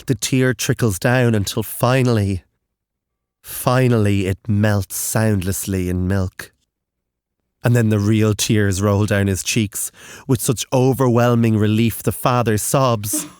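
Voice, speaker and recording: A man narrating a scripted horror story in a grave, deliberate manner with measured dramatic phrases. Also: on the low side, steady tone, darkish tone, clean tone, no room sound, clean studio sound.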